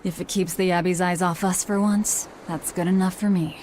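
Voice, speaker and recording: An actress plays a ruthless, guarded young woman in a video game. She speaks in a lowish, deadpan voice, terse and sardonic, with faint ambient noise. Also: moderate pace, close to an everyday voice. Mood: cynical, guarded. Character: cynical, guarded, ruthless young female avenger.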